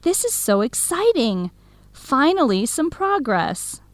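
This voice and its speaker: A young woman playing a supportive, excitable best friend in an educational radio drama, eager, expressive and full of questions. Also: high voice, slow, no echo, full character voice. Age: young adult.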